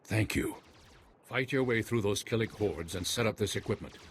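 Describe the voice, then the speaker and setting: A man acts a noble, earnest general in a video game. He speaks formally and evenly in a fairly low voice. Faint gunfire noise sits behind him. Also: close to an everyday voice. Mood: earnest, grateful. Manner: formal, measured.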